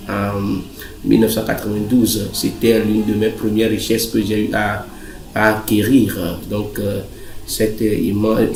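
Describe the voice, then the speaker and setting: A man voicing a pompous, self-satisfied billionaire groom in a cartoon, boasting in a halting way with many pauses. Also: lowish voice, a little mellow. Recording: roomy echo, music underneath.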